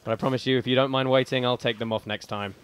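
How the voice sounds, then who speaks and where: A man's voice: mid-range voice, steady tone, fast. A man interviewing competitors and calling live commentary, speaking like an announcer projecting to a crowd. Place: competition arena. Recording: echoey hall with crowd and noise.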